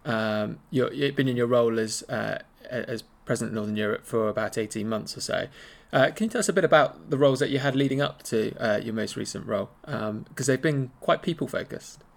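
A man's voice: medium pitch, a little fast, vocal fry. A man hosting a business podcast, asking questions in a friendly way with plenty of fillers. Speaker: podcast host. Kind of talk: business interview.

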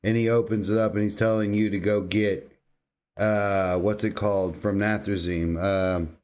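A man's voice: lowish voice, flat delivery, normal speed, darkish tone. A man co-hosting a casual gaming podcast, speaking in an emphatic, slangy, informal way. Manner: emphatic, informal, slangy, says "dude" often. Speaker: podcast co-host. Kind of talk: casual banter about video games.

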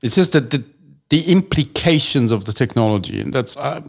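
An Austrian-born man talks through big ideas in an easy, rambling way. His voice sits at a middling pitch, and he restarts his sentences often as he thinks. It is a relaxed conversational discussion. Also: fairly quick, vocal fry, slightly rough. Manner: expansive, thinks aloud with many restarts. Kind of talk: casual discussion.

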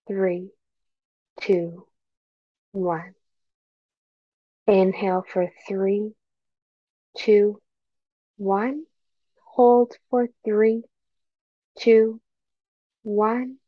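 A woman leading a guided meditation with gentle, soothing, measured phrasing and pauses between instructions. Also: medium pitch, dry recording, highs cut off.

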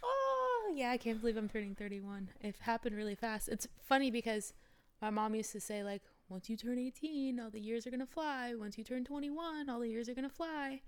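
A woman around thirty, co-hosting a podcast, chatting casually and agreeably with quick short replies. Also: fairly high pitch, animated, clean tone. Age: 30s. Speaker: podcast co-host. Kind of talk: casual chat.

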